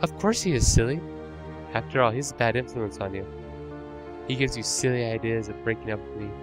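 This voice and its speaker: A man's voice: medium pitch, brisk, close to an everyday voice. A man voicing an obsessive, unhinged young woman stalker who swings between rage, pleading and sugary menace. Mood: sweetly menacing. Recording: music playing underneath.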